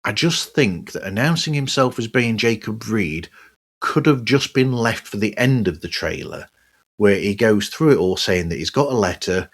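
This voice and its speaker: A man hosting a podcast monologue, giving his opinions in a conversational, emphatic way with a husky voice. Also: lowish voice. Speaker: podcast host. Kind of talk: podcast monologue, part scripted, reviewing other shows.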